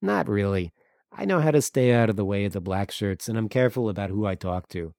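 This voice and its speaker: A man's voice: fairly low pitch, fairly quick, a little mellow, no room sound, clean studio sound, lightly acted. A man voicing a cynical, guarded Crimean local in an audiobook, speaking bluntly and emphatically. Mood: weary frank. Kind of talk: audiobook character dialogue.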